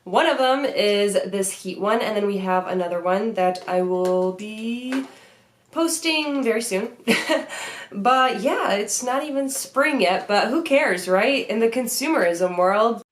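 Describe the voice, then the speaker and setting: A woman's voice: medium pitch, expressive, slow, reverberant, a little hiss or hum. Chatty young woman with a stuffy cold. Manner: chatty, upbeat, many "like" fillers. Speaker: beauty vlogger. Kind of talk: casual product review. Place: bedroom vanity room, indoors.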